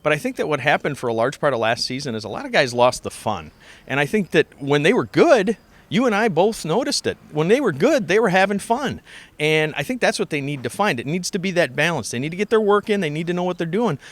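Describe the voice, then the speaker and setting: A man's voice: on the high side, very animated, fairly quick, no echo. A man who works as a radio sports announcer, giving emphatic conversational analysis and repeating phrases for stress.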